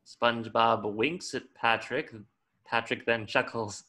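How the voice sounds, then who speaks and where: A man's voice: mid-range voice, steady tone, takes it slowly, pushed and bright. A man reading scripted stage directions as the narrator of a table read.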